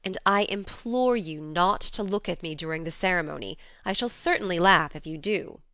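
A woman plays a blunt, tomboyish young woman from a storybook, in a smooth, clear voice with very dry sound. She is hearty and earnest as she admonishes. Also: on the low side, normal speed, a bit bright, dry recording. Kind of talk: audiobook character dialogue.

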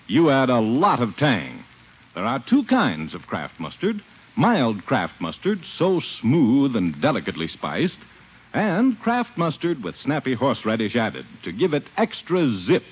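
Husky, very animated American ad announcer man. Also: medium pitch. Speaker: commercial announcer. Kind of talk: 1950s radio ad read. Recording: muffled 1950s radio.

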